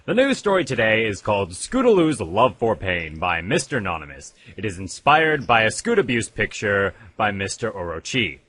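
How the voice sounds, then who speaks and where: A man's voice: fairly low pitch, very animated, normal speed, few pauses, a bit bright. A man giving a dramatic scripted reading, with a theatrical, profane delivery. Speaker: fan fiction reader.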